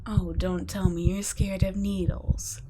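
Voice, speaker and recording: A woman voicing a cold, teasing female vampire scientist in an ASMR roleplay, speaking softly and often in a whisper, mocking and condescending. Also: lowish voice, little pitch movement, measured pace, very noisy, close to an everyday voice. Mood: clinical. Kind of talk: ASMR roleplay audio drama.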